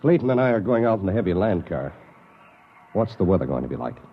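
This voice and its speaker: An actor in a 1950s radio drama playing a cautious, principled anthropologist, earnest and reasonable. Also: male voice, low-pitched, sing-song, quick pace, slight background noise. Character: cautious, principled male anthropologist on alien outpost. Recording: muffled old radio recording.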